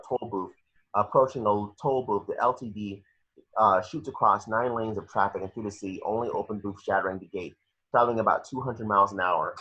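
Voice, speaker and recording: A man's voice: fairly low pitch, a bit bright, husky. A man reading screenplay action descriptions at a table read, stumbling a little over the script, on a lo-fi home recording.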